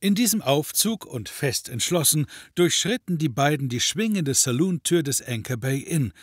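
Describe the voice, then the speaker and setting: A man narrating a scripted comedy audio drama in a measured, mock-dramatic way. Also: on the high side, expressive, slightly rough, no echo, studio quality.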